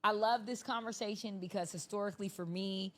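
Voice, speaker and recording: A woman hosting a podcast, chatting in an animated way and laughing easily. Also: medium pitch, a little fast. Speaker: host. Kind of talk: casual chat.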